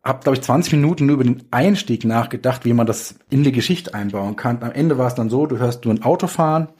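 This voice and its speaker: A man's voice: medium pitch, fast, a little mellow, breathy edge. A man chatting casually as a podcast guest, explaining his work as a writer with examples. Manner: explains with examples and acted-out quotes. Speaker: guest, audio drama writer.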